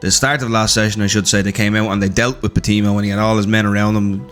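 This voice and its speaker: A hoarse man, the game master, recapping a tabletop campaign in a casual, joking, swearing way. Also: on the low side, flat delivery, fast talker, a bit bright, soft background music. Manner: joking, swearing, laughing often. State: hoarse, blew his voice.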